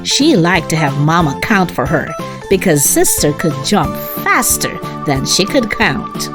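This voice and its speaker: A woman narrating a children's story in an expressive way, as a read-aloud host for young listeners. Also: fairly low pitch. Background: music.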